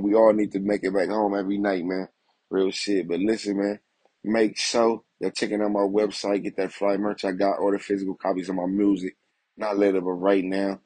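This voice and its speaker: A man's voice: lowish voice, level tone, brisk, dark tone, breathy edge, dry recording. A young man hosting a casual news commentary vlog, streetwise and leaning on "you feel me" after nearly every line.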